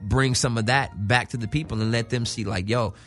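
A man talks as a guest in a casual radio interview. His voice sits at an ordinary pitch and his talk is slangy and emphatic, circling back on phrases for weight. Music plays faintly underneath. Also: steady tone, a little fast, a bit bright. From South Central Los Angeles, California. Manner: emphatic, slangy, repeats phrases and checks in with listener. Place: radio show studio.

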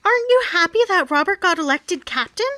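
A woman reads a matter-of-fact, teasing sitcom wife in an amateur table read. Her voice is very high and bright, recorded dry and close. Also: expressive, fairly quick, no echo, heavily acted. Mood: pointed.

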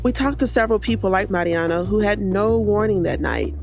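A woman co-hosting a podcast, giving scripted documentary narration in a measured, reflective way. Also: mid-range voice, expressive, vocal fry, a little mellow, faint music behind.

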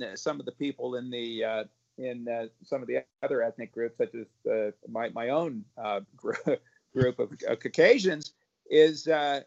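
A man speaking as a parent activist on a panel, halting, with many repeated words and restarts. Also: medium pitch. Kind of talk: panel discussion remarks. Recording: muffled lo-fi audio.

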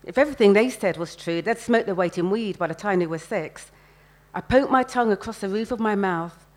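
A woman's voice: mid-range voice, brisk, darkish tone, lightly acted. Woman reading as a nervous love-struck London teenage boy. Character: sixteen-year-old Hackney boy, love-struck and nervous.

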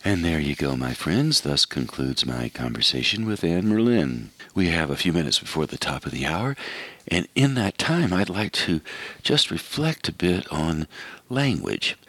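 Very deep-voiced man hosting a radio show. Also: big pitch swings, dry recording. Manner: warm, reflective, unhurried storytelling. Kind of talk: interview wrap-up and reflective monologue.

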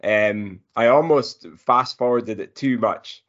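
Man, podcast co-host, mid-pitched voice, informal sports talk, muffled low-fidelity recording. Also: slow, slight background noise. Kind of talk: casual sports chat.

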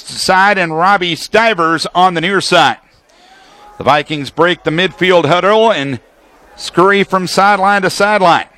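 A male American radio announcer doing live football play-by-play. His voice sits high and has a bright, forceful edge. He lays out the action in precise, clipped phrases. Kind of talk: live sports play-by-play commentary.

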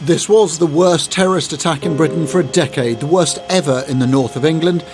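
A man's voice: a little high, brisk, music in the background. A man delivering a formal broadcast news report.

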